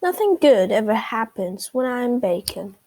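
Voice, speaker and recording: A woman's voice: a little high, sing-song, unhurried, gravelly, warm tone, exaggerated voice. A woman voicing a glum, defeatist female pig who complains, in a children's storybook.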